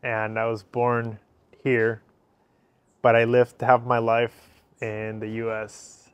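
A man's voice: medium pitch, monotone, slow pace, smooth, no room sound, lo-fi sound. A man in his thirties from Ecuador with an Ecuadorian Spanish accent, a native Spanish speaker, answering interview questions in short, simple sentences on an outdoor patio. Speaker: interview guest. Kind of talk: casual interview. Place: covered outdoor patio by a fireplace.

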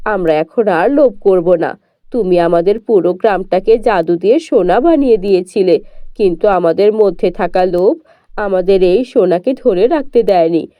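A woman's voice: medium pitch, moderate pace, no room sound, voice pushed down, lightly acted. A woman voicing grateful, humble villagers who speak steadily, in a dull, dry recording.

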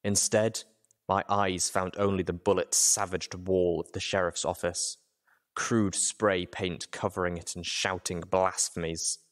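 A man's voice: sits low, little pitch movement, a little slow. A man reading a first-person story aloud as a dramatic audiobook narration.

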